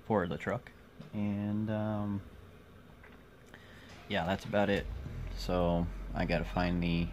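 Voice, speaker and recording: A man in his forties chatting casually to viewers in a halting, rambling vlog, recorded on a phone indoors. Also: low-pitched, flat delivery, darkish tone. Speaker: vlogger. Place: small cluttered room indoors. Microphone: phone at arm's length. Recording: noisy phone recording, clear background noise.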